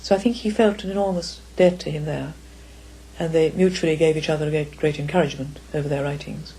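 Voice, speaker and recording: Older woman, deep husky voice, mellow, reflective, carefully worded. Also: fairly even intonation, fast, rough recording. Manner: careful, articulate, formal. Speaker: author's daughter, interviewee. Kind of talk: reflective interview.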